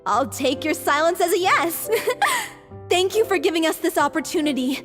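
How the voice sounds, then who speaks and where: A woman's voice: extremely high pitch, animated, moderate pace, a bit bright, big-room sound. A woman voicing an earnest young theater troupe leader in a video game, polite and encouraging. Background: game score music.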